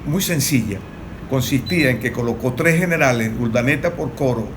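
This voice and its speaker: Venezuelan guy on a political panel, average pitch, explaining like a teacher, noisy recording. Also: animated, moderate pace.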